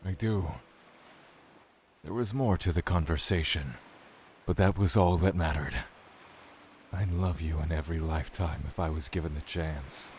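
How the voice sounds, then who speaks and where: An actor playing a brooding, love-struck male god who speaks in a measured, solemn, guarded way in an audio drama. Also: deep voice, a little mellow, slight background noise, close to an everyday voice. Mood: wistful, tender. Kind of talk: dramatic audio fiction acting, reflective narration.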